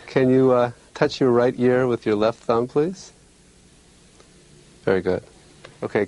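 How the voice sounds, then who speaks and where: Man giving measured clinical exam instructions. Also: medium pitch, mellow, poor audio. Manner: measured, polite, clearly worded instructions. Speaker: clinician demonstrating a mental status exam. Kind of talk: instructional exam demonstration, scripted questions and explanation.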